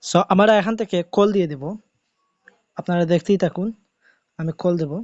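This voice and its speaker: High-pitched man giving a tutorial. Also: normal speed, darkish tone, no room sound, dull audio. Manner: repetitive, instructional phrasing. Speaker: tech tutorial host. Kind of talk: step-by-step how-to video narration.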